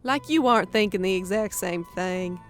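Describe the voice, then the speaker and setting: A woman's voice: mid-range voice, normal speed, lightly acted. A woman playing a wry, frank female soldier in an audio story. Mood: sardonic. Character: wry, sardonic female commando volunteer. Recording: music under the voice.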